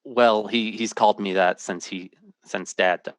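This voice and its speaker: A low-pitched American man played by a male actor in a radio drama, earnest and worried about his missing brother. His speech is clipped and impatient, with a hardboiled narrator's edge. The sound is close and dry. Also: steady tone, measured pace, smooth, dry recording, lightly acted. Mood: anxious, grave. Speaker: lead actor and first-person narrator.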